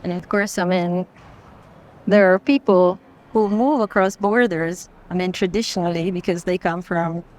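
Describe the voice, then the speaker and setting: A woman's voice: fairly low pitch, moderate pace, a little mellow. A woman in an unscripted walking conversation about art, explaining reflectively and building long sentences in phrases. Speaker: art curator guiding a walk through a fair. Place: busy art fair hall, walking.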